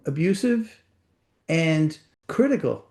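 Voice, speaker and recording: A man's voice: fairly high pitch, lively intonation, takes it slowly, a little mellow. Older man with a Puerto Rican accent. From Puerto Rico. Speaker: author being interviewed. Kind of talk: reflective conversation.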